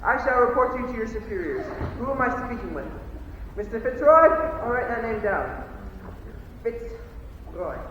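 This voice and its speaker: A teenage student actor playing a blustering, indignant upper-class gentleman in a melodramatic stage farce rehearsal. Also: extremely high pitch, sing-song, measured pace, lo-fi sound, a little hiss or hum, exaggerated voice. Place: large indoor high school rehearsal space. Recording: very echoey hall, distant mic.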